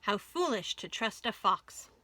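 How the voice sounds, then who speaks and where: A woman's voice: mid-range voice, big pitch swings, unhurried, bright, forceful tone, no echo, exaggerated voice. A woman voicing a firm, wary male mouse dentist in a children's storybook, speaking formally and emphatically. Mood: distrustful.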